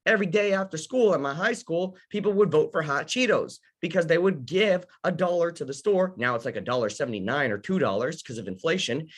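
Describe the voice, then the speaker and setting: Young guy from ukraine running a beginner investing class, kinda high voice, chatty and loose. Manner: casual, talks to the audience, many fillers and restarts. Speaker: host of an investing workshop. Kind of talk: live online beginner lesson.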